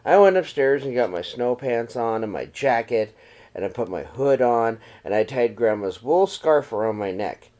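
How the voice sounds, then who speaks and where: A man reading a children's picture book aloud like a teacher, with expressive, dramatized storytelling delivery. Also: mid-range voice, normal speed. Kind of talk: read-aloud storybook narration.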